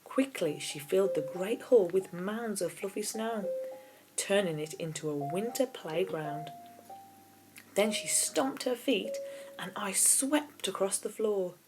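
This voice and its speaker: A woman's voice: mid-range voice, expressive, slow, low-quality recording. A woman narrating a children's novel aloud in a storybook reading style, as an audiobook reader. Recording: keyboard music behind the voice. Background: keyboard music.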